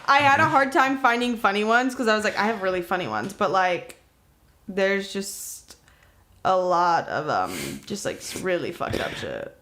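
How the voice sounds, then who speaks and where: A woman with an American accent bantering casually as a podcast co-host, blunt, teasing and quick to swear. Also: medium pitch, lively intonation, a bit bright, a little hiss or hum.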